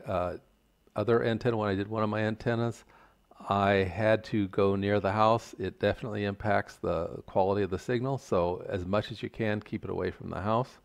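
An older man giving a measured, plain-spoken, step-by-step tutorial explanation. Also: fairly low pitch. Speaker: instructor. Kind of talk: explanatory tutorial narration over slides.

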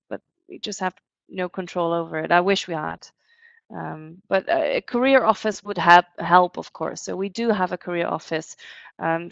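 A woman in her thirties answers questions on a live university webinar over a headset, pausing on frequent fillers. Her low voice has a gravelly fry, and the call audio is dry and dull. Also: dry recording. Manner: hesitant, filler-heavy. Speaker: university staff member. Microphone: headset on a video call.

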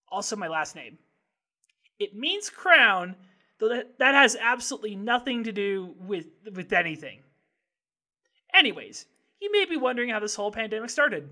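Woman voicing a hyperactive, scatterbrained boy, lively and bright. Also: mid-range voice. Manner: scatterbrained, rambling, blurting. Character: hyperactive, impulsive young boy, cheerfully clueless troublemaker. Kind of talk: animated comedy narration and dialogue.

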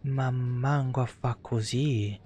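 A man's voice: medium pitch, fairly even intonation, takes it slowly, warm tone, soft background music. An Italian man in his thirties giving casual, profane live game commentary and chatting to viewers. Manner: informal, heavily profane. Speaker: game streamer. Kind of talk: casual live gameplay commentary with asides to chat.

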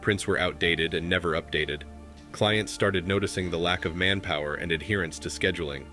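Low, bright-voiced man reading commentary. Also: normal speed, soft background music. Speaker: commentary host. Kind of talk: reading posts aloud for commentary.

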